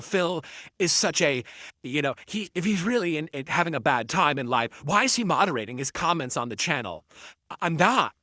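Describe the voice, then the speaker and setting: A man's voice: fairly high pitch, sharp tone, dry recording, clean studio sound. A man livestreaming from his home room, chatting with viewers in a rambling, defensive way, full of fillers and restarts.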